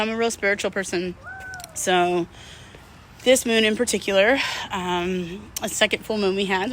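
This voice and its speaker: A woman's voice: mid-range voice, bright, forceful tone, a little hiss or hum. A middle-aged woman talking casually and unscripted to her viewers, candid and slangy, rambling and drifting mid-thought. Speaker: vlog host.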